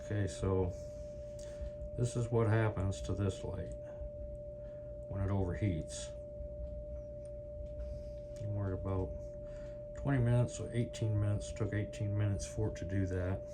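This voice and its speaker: A man's voice: on the low side, measured pace, pauses often, loud music behind the voice, poor audio. A man giving a casual, unscripted product demonstration, rambling and thinking aloud as he goes. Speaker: product review video host. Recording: clear steady hum and background noise.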